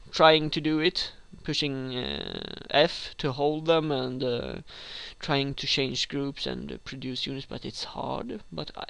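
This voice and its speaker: Slow-talking Swedish man, dry close sound. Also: a little high, creaky, fairly bright tone, clear, resonant tone, no echo. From Sweden. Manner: hesitant, many fillers and restarts. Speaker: gaming tutorial host. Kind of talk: rambling screen-recorded game tutorial.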